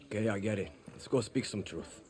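An actor playing a tough young guerrilla fighter in a video game, blunt and sarcastic. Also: male voice, fairly low pitch, a little fast, background noise, lightly acted. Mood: exasperated. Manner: blunt, sarcastic, foul-mouthed. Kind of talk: video game cinematic acting.

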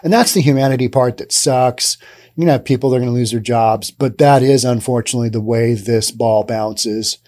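A man in his thirties hosting a podcast, giving casual, sarcastic commentary on the news into a studio boom mic. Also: brisk, a little mellow. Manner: sarcastic, acts out imagined dialogue. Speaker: podcast host. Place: dim studio with brick wall and neon sign.

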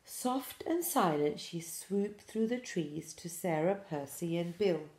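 A woman in her forties reads a children's story slowly and with lively intonation. Her voice is gentle and mellow, aimed at small children. It sounds like a roomy home recording. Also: on the low side, slight background noise. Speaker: pre-school story reader. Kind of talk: expressive children's storybook reading. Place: small room indoors.

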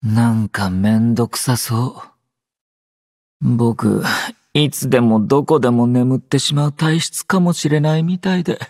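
An actor playing a drowsy, low-energy young male android soldier in an anime game, aloof yet gentle, with a languid, understated delivery. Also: a little slow, no echo, clean studio sound. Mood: drowsy, nonchalant. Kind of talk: Japanese anime game character voice lines.

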